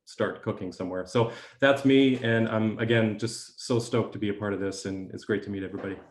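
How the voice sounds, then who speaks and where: Low, flat-voiced man, eager social worker, echoey room sound. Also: a little hiss or hum. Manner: enthusiastic, quick. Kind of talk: online panel introduction.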